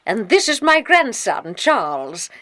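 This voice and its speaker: A woman's voice: on the high side, big pitch swings, unhurried, fairly bright tone. A woman playing a polite, concerned elderly grandmother in a children's audio drama. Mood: grateful, concerned.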